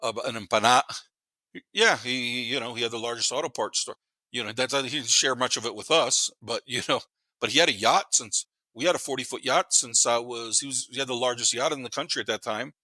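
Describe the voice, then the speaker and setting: Bright, punchy man in his fifties. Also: mid-range voice, a little fast, no echo, low-quality recording. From Panama. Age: 50s. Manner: blunt, slangy, profane, repeats words for emphasis. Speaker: podcast guest. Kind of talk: casual chat, storytelling.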